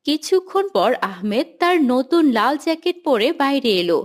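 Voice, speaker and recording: A woman's voice: high-pitched, very animated, normal speed. A woman reading scripted narration for a children's moral cartoon story.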